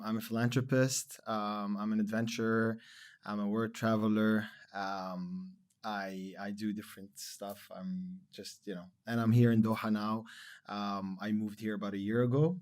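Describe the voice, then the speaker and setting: A man in his thirties from Lebanon, a podcast guest talking in a relaxed, rambling way with many fillers. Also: on the low side. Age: 30s. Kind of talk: casual interview chat.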